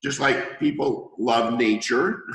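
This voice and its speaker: A middle-aged man with a hoarse voice from a cold, hosting an interview as a healer and explaining things at length with analogies. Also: mid-range voice, slow pace, a bit bright, roomy sound, rough recording. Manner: confident, lecturing with analogies. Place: room in Thailand.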